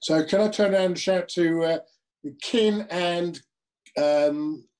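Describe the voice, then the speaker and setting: Male tabletop player, unhurried and halting, light higher-pitched voice. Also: darkish tone. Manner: hesitant, thinking aloud.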